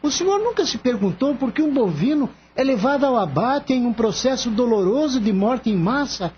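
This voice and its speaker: Brief: a performer as polite young Brazilian male assistant in radio play; earnest, pleading, very expressive, slightly muffled recording. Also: mid-range voice, moderate pace, husky. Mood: earnest, insistent. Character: earnest, gentle young animal-loving male veterinary assistant. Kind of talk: Brazilian radio drama acting.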